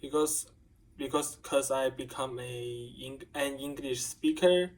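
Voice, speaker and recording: A young university student whose first language is Chinese talks through his assignment answers in English. His voice sits at an ordinary pitch for a man. He speaks haltingly and often stops to restart a word or add a filler. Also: steady tone, low-quality recording. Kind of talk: spoken assignment answering set questions.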